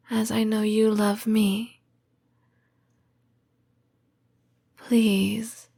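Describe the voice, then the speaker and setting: A woman acting as a lonely, tender snake-woman girlfriend in an intimate audio roleplay. Her voice is breathy and often sinks into a whisper. She speaks extremely slowly, pausing hesitantly, with very little rise and fall in pitch. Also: dark tone, full character voice. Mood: tender, reassuring. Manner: slow, hesitant, intimate delivery with long pauses. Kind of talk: soft-spoken ASMR roleplay.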